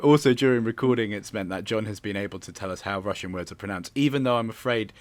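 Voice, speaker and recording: Lowish-voiced man, chatting casually. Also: fast, dry recording. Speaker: director interviewed about an audio drama. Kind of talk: casual interview chat.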